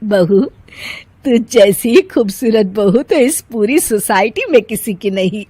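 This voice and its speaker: A woman voicing a doting, gently worried older village mother-in-law in a cartoon story, with an affectionate manner. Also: mid-range voice, lively intonation, takes it slowly, husky, no echo.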